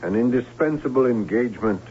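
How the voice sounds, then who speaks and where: An actor playing a melancholy, ghostly young Count in an old radio drama, speaking in a halting, solemn, formal way. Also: male voice, mid-range voice, lively intonation, warm tone, lightly acted. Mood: grave, regretful. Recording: lo-fi old radio, hum and noise.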